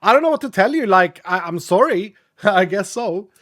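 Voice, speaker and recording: A man streaming live with a cold, chatting casually in a raspy, sniffly voice and thanking his viewers. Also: high voice, animated, moderate pace, fairly bright tone.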